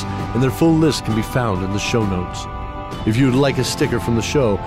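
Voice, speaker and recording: A man as narrator, reading scripted podcast credits and announcements. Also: lowish voice.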